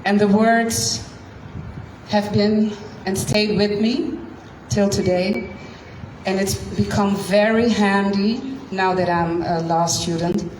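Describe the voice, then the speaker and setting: A woman in her thirties, born in Curacao with Dutch as her first language, telling her story to a live audience at a slow, deliberate pace. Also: mid-range voice, steady tone, darkish tone. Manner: slow, deliberate, with frequent pauses. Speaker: guest speaker. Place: event room. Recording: echoey room, distant mic, noisy.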